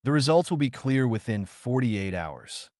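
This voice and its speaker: A man's voice: medium pitch, sing-song, normal speed, darkish tone, no room sound, clean studio sound, lightly acted. A man voicing an earnest, proud male scientist in an audiobook, speaking in a formal, emphatic way. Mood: confident, firm.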